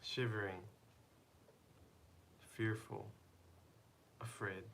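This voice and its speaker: A man's voice: fairly low pitch, little pitch movement, very slow, creaky, fairly bright tone, slight background noise. A young man casually chatting on a live stream, playfully teasing and asking viewers questions.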